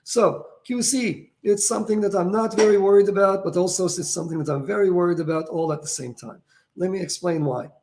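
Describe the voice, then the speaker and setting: A man's voice: high-pitched, fairly quick, a little mellow, a little hiss or hum. A middle-aged man who is losing his voice and feeling tired, explaining technical topics in a casual way and thinking aloud with many fillers and restarts. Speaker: founder answering viewer questions.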